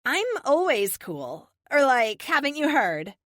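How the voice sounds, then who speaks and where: A woman's voice: sits high, big pitch swings, slow pace, a bit bright, dry recording, studio quality, pitched above the performer's own voice, exaggerated voice. A woman voicing a breezy, cocky young superhero with a Californian Valley Girl accent in an audiobook.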